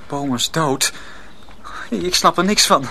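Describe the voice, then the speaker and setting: A man's voice: fairly high pitch, slow pace, creaky, pushed and bright, slight background noise, exaggerated voice. A male actor playing a timid, naive young apprentice boy in a radio drama. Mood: frightened, confused.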